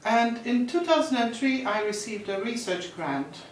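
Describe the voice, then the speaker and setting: A woman's voice: a little high, expressive, moderate pace. A woman from Romania, a researcher, answering interview questions in a plain, factual way. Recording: echoey room, distant mic.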